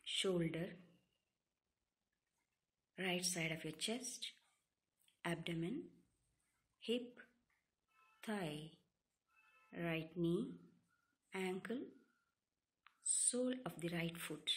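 A woman leads a guided relaxation meditation, giving calm, soothing instructions in short, separated phrases. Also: fairly low pitch, very slow, long pauses. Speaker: yoga nidra meditation guide.

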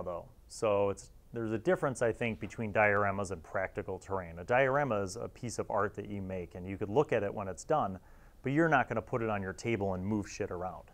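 A man, hosting a casual review in an informal, halting way and sometimes reading aloud. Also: lowish voice. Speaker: host reviewing old gaming magazines.

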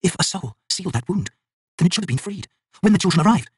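A defiant, bitter shaman woman of dark fantasy, performed by a male narrator. The voice is raised high and slightly husky, speaking with proud, emphatic intensity. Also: lively intonation, fast talker, dry recording, full character voice. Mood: anxious.

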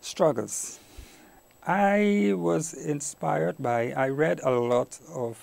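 A man's voice: fairly high pitch, very slow, vocal fry, a little mellow. A middle-aged man from Guyana with a Caribbean accent, giving reflective answers as an interview guest. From Guyana, later Trinidad and Tobago. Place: small indoor TV studio. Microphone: lapel mic.

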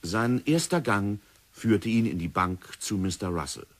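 A man narrating a 1960s radio drama in a measured, dramatic storytelling manner. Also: lowish voice, expressive.

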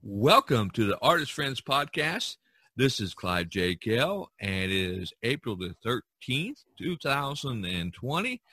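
Male podcast host, deliberate and conversational, crisp bright tone in a dry recording, faint music. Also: mid-range voice, very animated, no echo.

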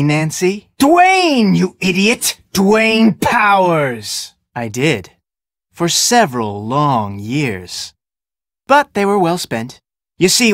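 Game villain: smug, vengeful failed thespian, gloating with big swings of pitch, dry close sound. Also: male voice, fairly bright tone, dry recording. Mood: sneering, menacing. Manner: theatrical, gloating, sneering. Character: vengeful, gloating male ex-con actor villain, theatrical and smug. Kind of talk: video game villain acting.

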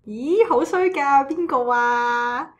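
A woman's voice: high-pitched, slow pace. A woman playing a vain, coy, whiny church lady in a comedic radio drama, girlish and indignant, with a Hong Kong Cantonese accent.

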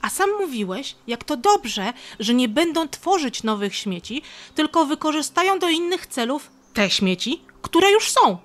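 Eager, chatty girl squirrel voiced by a woman, slightly high, cheeky, for a children's story. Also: very animated, a little slow. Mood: triumphant. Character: cheeky, curious, eager young female squirrel. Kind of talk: children's audio play.

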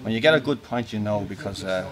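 A man's voice: fairly low pitch, fast, crowd noise. A man, a pub regular, chatting heartily about his favourite drink in a busy pub. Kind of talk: casual chat.

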